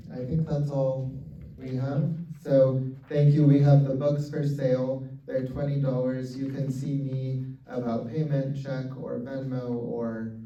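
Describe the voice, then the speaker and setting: A man's voice: mid-range voice, mellow. A man hosting a literary event, giving casual announcements with many fillers. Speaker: event organizer and host. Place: event hall. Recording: echoey hall, distant muffled mic.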